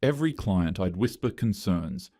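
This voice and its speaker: Low-voiced man narrating a story, dry. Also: lively intonation, moderate pace, mellow, no room sound. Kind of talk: scripted first-person narration.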